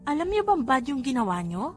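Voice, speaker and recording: A high-voiced young mother acted by a woman reader, fond but a little exasperated. She scolds gently in storybook dialogue over faint music. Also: very animated, a little fast. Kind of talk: audiobook character dialogue.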